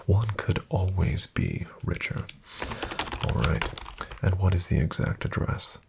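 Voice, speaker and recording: A man playing a suave, flattering financial advisor in a soft-spoken ASMR roleplay, hushed, courteous and unhurried, often near a whisper. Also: low voice, creaky, very noisy. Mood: courteous, businesslike. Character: suave, flattering male financial advisor to wealthy elite clients.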